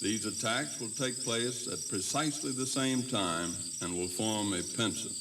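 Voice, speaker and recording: An actor playing a grave, decisive Confederate commanding general in a historical audio drama, deliberate and authoritative. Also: male voice, fairly low pitch. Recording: noisy, distant tape with insect ambience.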